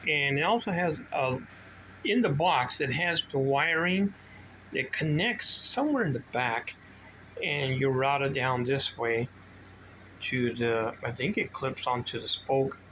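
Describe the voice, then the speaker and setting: Older guy rambling thru a show and tell, kinda sharp bright voice, some noise behind him. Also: mid-range voice, a little slow, low-quality recording. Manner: rambling, informal, drifting between asides. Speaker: vintage bike collector demonstrating his gear. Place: indoor home workshop room. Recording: noticeable background noise.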